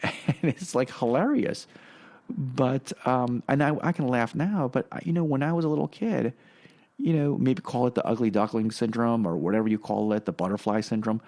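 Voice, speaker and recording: A man's voice: mid-range voice, darkish tone. A middle-aged man whose first language is Korean, telling personal stories as a podcast guest in a rambling way, full of fillers and restarts. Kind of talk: casual personal storytelling.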